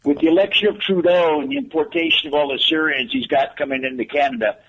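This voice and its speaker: A man's voice: high-pitched, sing-song, fast, creaky, a bit bright, lo-fi sound, slight background noise. An older retired man, a guest on a political talk radio show, speaking emphatically and repeating phrases for stress.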